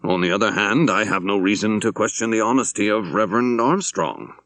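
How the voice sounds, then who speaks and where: A man voicing a troubled senior bishop in an audiobook, speaking in a formal, hesitant, deliberate way. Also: lowish voice, animated, fairly bright tone, no room sound. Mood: troubled, uneasy.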